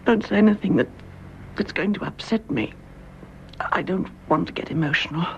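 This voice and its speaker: An actress playing an anguished young English widow in a radio drama, speaking in a halting, fervent way. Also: female voice, fairly low pitch, highs cut off, a little hiss or hum, natural voice. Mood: frightened, anguished. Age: young adult. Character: anguished young adulterous widow, honest and emotional. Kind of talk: British radio drama acting.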